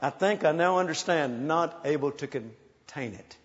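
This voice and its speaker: Man in his 60s, high-pitched pastor, exhorting, echoing through a big muffled hall. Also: animated, normal speed. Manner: exhorting, calls for praise, playful storytelling. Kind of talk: sermon. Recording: muffled sound in a large reverberant hall.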